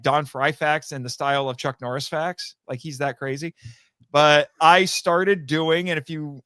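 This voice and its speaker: A man chatting casually as a podcast guest, telling anecdotes in a rambling, animated way and acting out bits of conversation. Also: on the high side, dry recording, clean studio sound. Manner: rambling, animated storytelling with acted-out quotes. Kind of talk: casual anecdotal interview chat.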